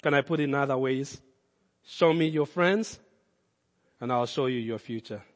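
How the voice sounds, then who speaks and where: A man with a Kenyan accent, a pastor giving a sermon, swinging from loud, emphatic calls to low, earnest asides. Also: moderate pace, big-room sound. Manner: impassioned preaching, rising loud then dropping low and intimate.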